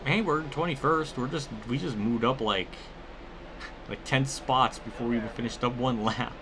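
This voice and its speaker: A man's voice: mid-range voice, moderate pace, background noise. A man giving casual commentary over a racing video game, rambling and often cutting himself off with exclamations. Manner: rambling, self-interrupting, exclamatory. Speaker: gaming video host.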